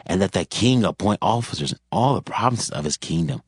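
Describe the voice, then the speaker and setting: A man playing a solemn, formal royal servant who counsels a king in a measured, dramatized scripture reading. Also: low voice, animated, husky, no echo, studio quality. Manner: measured, formal, archaic phrasing.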